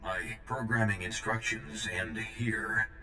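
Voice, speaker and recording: An actor playing an ancient, formal, literal-minded security droid in a video game, with robotic processing on the voice. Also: male voice, on the low side, slow pace, roomy sound, slight background noise, heavily acted. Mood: formal, flat. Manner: formal, procedural, flat delivery. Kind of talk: game character dialogue.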